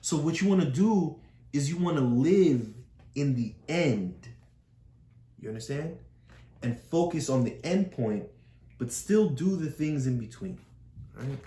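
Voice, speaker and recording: A woman's voice: deep voice, animated, unhurried, long pauses, dark tone. A woman talking through a picture book with a child in a patient, explaining way, often repeating key phrases. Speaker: parent reading with a child. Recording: echoey room.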